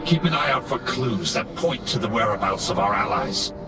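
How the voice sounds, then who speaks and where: An actor playing a grim, resolute undead knight commander in a fantasy video game, solemn and commanding. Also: male voice, fairly low pitch, expressive, moderate pace, recorded from a distance, close to an everyday voice. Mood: watchful. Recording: game music loud behind.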